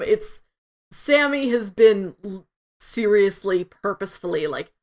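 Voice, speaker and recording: A woman co-hosting a casual review discussion, often acting out imagined quotes as she explains her thoughts. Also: mid-range voice. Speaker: podcast co-host reviewing an audio drama.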